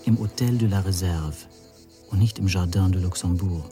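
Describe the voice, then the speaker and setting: An actor playing an anxious, wry spy suspect who narrates reflectively in the first person in a radio drama. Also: male voice, deep voice, moderate pace, warm tone, soft background music, close to an everyday voice.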